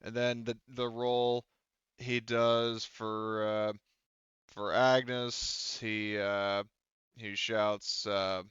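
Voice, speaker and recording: Man, game master, low smooth voice, casual tabletop narration, dry slightly muffled recording. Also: flat delivery, very slow, a bit bright, no room sound.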